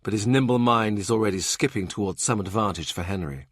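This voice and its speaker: A man narrating a historical novel as an audiobook in a measured, even-toned reading. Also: lowish voice, no echo, studio quality.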